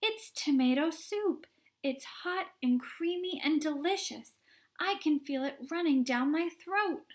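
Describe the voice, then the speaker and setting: Woman doing a loud, bratty young girl. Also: sits high, animated, unhurried, voice pushed up. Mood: delighted. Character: spoiled, stubborn gum-chewing young girl.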